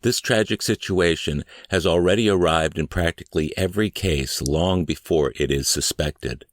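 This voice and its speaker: A man reading scripted audiobook narration in a measured, deliberate, clearly phrased way. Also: low voice, dry recording, clean studio sound. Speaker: audiobook and podcast reader.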